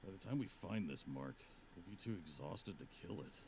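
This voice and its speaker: A man playing a gruff, stalwart, weary monster hunter in a visual novel, deep and gravelly, with a terse, grumbling delivery. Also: lively intonation, normal speed, a little mellow, slight background noise.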